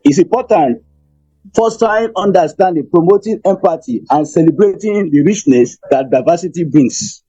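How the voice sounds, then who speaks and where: A man with a Nigerian accent gives a formal conference presentation as an invited speaker, in a deliberate, phrase-by-phrase delivery. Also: on the high side, animated, dark tone, husky. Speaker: invited speaker, professor and motivational speaker. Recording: dull, muffled audio with music.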